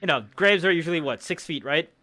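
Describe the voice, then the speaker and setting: A man's voice: fairly high pitch, animated, fast talker, sharp tone. A man chatting casually while playing a roleplay game. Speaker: roleplay player. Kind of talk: casual chat during roleplay.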